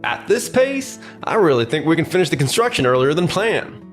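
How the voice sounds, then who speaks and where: A man's voice: on the high side, sing-song, brisk. A man voicing an enthusiastic, friendly construction worker in a manga dub. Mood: upbeat. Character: upbeat, proud male construction team worker. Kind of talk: anime-style manga dub acting. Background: faint background music.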